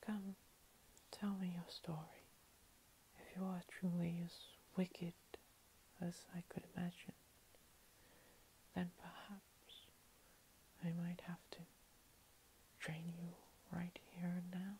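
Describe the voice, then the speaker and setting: A woman acts out a menacing female vampire cat-girl princess for an ASMR roleplay. Her whisper is soft, dark and low-lying, barely rising or falling. She is condescending and playful, toying with her prey. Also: poor audio. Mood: playful, possessive. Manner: slow, teasing, condescending, with lingering pauses. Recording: steady hiss.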